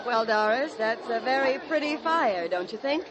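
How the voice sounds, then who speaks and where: An actress playing a smooth, persuasive woman gang leader in a 1940s radio drama. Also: on the high side, lively intonation, moderate pace, over a noisy crowd, very noisy, lightly acted. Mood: scheming.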